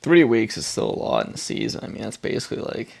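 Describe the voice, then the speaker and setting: A man with a gravelly, creaky voice co-hosts a casual podcast. He cracks jokes and needles his friends about their seasons. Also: on the low side, wildly swooping pitch, fast talker, a little mellow. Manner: wisecracking, teasing. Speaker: podcast co-host.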